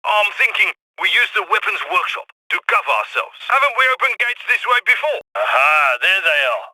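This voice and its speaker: A man voicing a heist coordinator who gives brisk, commanding instructions over the radio for a video game. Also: high voice, sing-song, pushed and bright, dry recording, heavily acted, low-quality recording. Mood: focused. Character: heist planner man giving radio orders.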